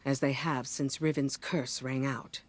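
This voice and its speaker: Solemn, low-voiced regal mission-giver. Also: female voice, level tone, measured pace. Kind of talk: game dialogue.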